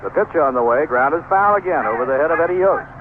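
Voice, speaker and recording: A man's voice: on the high side, expressive, fast. An American man calling baseball play-by-play as a live radio commentator. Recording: narrow, noisy 1970s AM radio broadcast.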